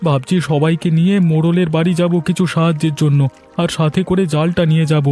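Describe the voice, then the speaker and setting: A man's voice: fairly high pitch, steady tone, fast, dark tone, soft background music, lightly acted. A man voicing a reassuring, confident and resourceful village husband in a cartoon story.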